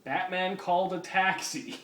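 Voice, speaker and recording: A man's voice: high voice, measured pace, a bit bright. A man giving a comic dramatic reading of fan fiction, amused and apt to break off to comment. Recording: echoey room, distant mic, faint noise.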